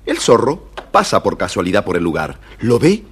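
A man with a husky voice narrating a scripted children's story, dramatic and speaking straight to young listeners. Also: fairly low pitch, wildly swooping pitch, moderate pace.

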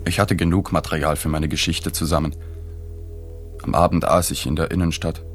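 An actor playing a sober, observant journalist who narrates his story in a plain, measured way in a radio drama. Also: rumbling low, level tone. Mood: sober, reflective. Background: music.

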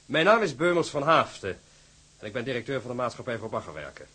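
An actor playing a formal, eager, scheming company director in a radio drama, with pompous, flowery courtesy. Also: male voice, medium pitch, sing-song, fast, bright, forceful tone, breathy edge, heavily acted. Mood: courteous, eager.

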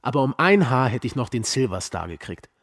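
A haunted young war veteran. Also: male voice, medium pitch, very animated, brisk. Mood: wry, downplaying. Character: young male American Vietnam veteran, haunted, wry, self-doubting. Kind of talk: radio drama acting, storytelling. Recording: music behind the voice. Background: music.

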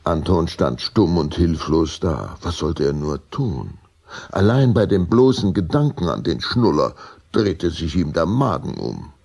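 A man narrating a children's vampire radio play as an expressive, measured storyteller. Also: deep voice, vocal fry, breathy edge, exaggerated voice. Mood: storytelling. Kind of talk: scripted dramatic storybook narration.

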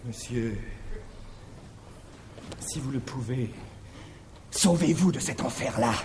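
An actor playing a wily, cajoling manservant, swinging between confiding murmurs and exclamations in a theatrical stage farce. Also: male voice, medium pitch, takes it slowly. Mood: dramatic warning. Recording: slightly noisy 1961 theatre recording.

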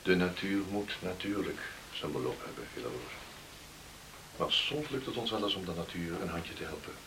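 An actor in a Dutch radio play playing a kindly, practical village doctor with a measured, reassuring manner. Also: male voice, deep voice, expressive, vocal fry. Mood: calm, reassuring. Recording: noisy old radio play recording.

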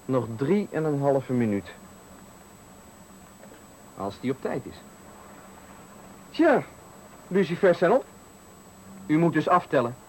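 Menacing stranger in a noisy radio thriller. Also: male voice, fairly high pitch, very animated, slow pace, pauses often, darkish tone. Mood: menacing, commanding. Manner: taunting, commanding, coolly polite. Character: menacing male stranger, sadistic trickster at a bus stop. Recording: noisy 1980 radio play recording.

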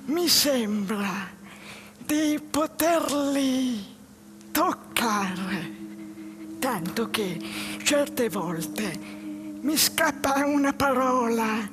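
An actress playing a lonely, dreamy, jobless man in a halting theatrical monologue. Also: sits high, lively intonation, a bit bright, a little hiss or hum, full character voice. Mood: melancholy, wistful. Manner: slow, halting phrases with pauses. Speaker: stage actress. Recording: music behind the voice.

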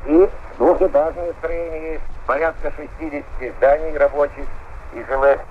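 A man's voice: a little high, fairly even intonation, normal speed, narrow, tinny audio, a little hiss or hum. A man at a polar station answering interview questions over a long-distance radio link.

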